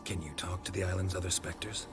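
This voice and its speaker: An actor playing a stoic, suspicious, world-weary monster hunter in a video game, gravelly and terse, with deadpan delivery. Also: male voice, fast, music in the background, lightly acted. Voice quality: gravelly, low. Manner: terse, deadpan, clipped sentences. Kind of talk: dramatic video game acting.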